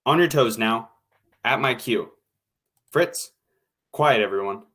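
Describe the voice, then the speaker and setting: A man's voice: mid-range voice, slow, bright, forceful tone. A man playing an impatient radio director in a 1940s-style drama, brusque, slangy and commanding. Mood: impatient, bossy.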